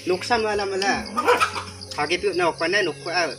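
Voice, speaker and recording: A man's voice: high-pitched, fairly even intonation, brisk. Young man chatting in an outdoor skit. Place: outdoors in a village yard. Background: background music.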